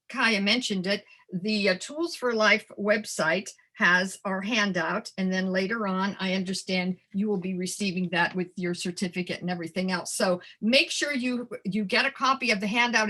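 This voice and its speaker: A woman's voice: medium pitch, pushed and bright. An older woman, sixties or above, an educator giving a webinar presentation, addressing her listeners directly and stressing key phrases. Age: 60s or older. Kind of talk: webinar presentation to childcare providers.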